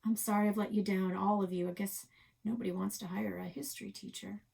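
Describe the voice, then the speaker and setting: A woman's voice: mid-range voice, flat delivery, fairly quick, creaky, darkish tone, slightly rough. A woman voicing an exasperated father in a children's story, with a firm, emphatic manner. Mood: defeated, ashamed. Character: stern, exasperated father scolding his young daughter. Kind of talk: children's novel read-aloud.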